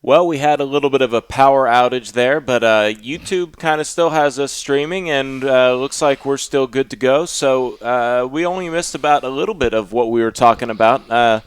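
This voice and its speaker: Smooth-voiced man hosting sports talk. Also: mid-range voice, moderate pace, hardly any pauses, no echo. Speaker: sports podcast host. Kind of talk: casual sports discussion.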